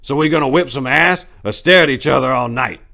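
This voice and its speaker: A man voicing a mean, belligerent old gangster in a dramatic story reading, menacing and gruff as he barks out insults. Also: medium pitch, fairly quick, bright, forceful tone, voice pushed up. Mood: barking, scornful.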